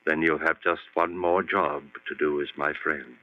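An actor playing an obsessed, confident surgeon with an insistent, impassioned manner in an old-time radio horror drama. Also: male voice, extremely low pitch, fairly even intonation, moderate pace. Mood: grave resolve. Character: obsessed male surgeon-scientist, confident, fervent, desperate husband. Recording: dull, band-limited old radio recording.

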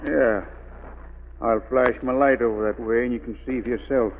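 A man playing a menacing, vengeful killer with folksy, rough grammar in an old radio thriller. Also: mid-range voice, steady tone, normal speed, natural voice. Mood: gloating. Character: vengeful, menacing murderer with a pet wolf. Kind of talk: 1940s radio villain acting. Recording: noisy, phone-like old radio recording.

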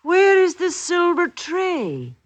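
Casting: a fond colonial wife for vintage radio drama, swooping and unhurried, quaintly theatrical. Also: female voice, extremely high pitch, wildly swooping pitch, full character voice. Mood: questioning. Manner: theatrical, quaint archaic phrasing. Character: affectionate, wistful country wife of colonial times. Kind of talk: old-time radio drama acting.

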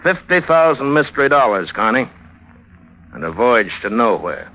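An actor playing a shrewd police investigator in an old radio drama, probing with false patience in an American accent. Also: male voice, mid-range voice, sing-song, moderate pace, natural voice. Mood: pressing, suspicious. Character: shrewd, needling male police investigator questioning a suspect. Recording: dull vintage radio recording.

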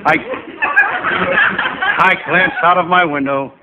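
A male comedian playing a wisecracking, hapless private eye, narrating in deadpan first person in old-time radio comedy style. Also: fairly high pitch, slow pace. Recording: noisy, tinny vintage radio recording.

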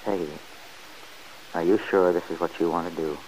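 A man's voice: low voice, fairly even intonation, moderate pace, darkish tone, slight background noise, lightly acted, poor audio. Man playing a kind, folksy farmhand. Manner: folksy, hesitant. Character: loyal farmhand friend, kind and plain-spoken. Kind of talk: 1940s radio acting.

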